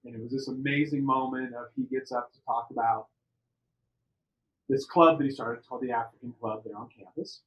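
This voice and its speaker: A middle-aged man from the United States telling personal stories on a podcast in an animated, conversational way, repeating words for emphasis. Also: mid-range voice, brisk, lo-fi sound. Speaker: podcast interview participant. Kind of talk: personal storytelling.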